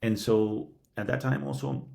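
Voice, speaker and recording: A middle-aged man speaking earnestly as a podcast guest, halting with frequent restarts and a habitual "yeah". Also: medium pitch, steady tone, creaky, warm tone. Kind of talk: reflective conversational interview.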